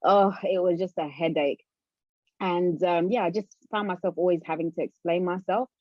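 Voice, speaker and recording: Low-voiced woman from Zambia, dry sound. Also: fairly even intonation, moderate pace, no room sound, lo-fi sound. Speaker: guest, business owner. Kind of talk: casual conversational interview.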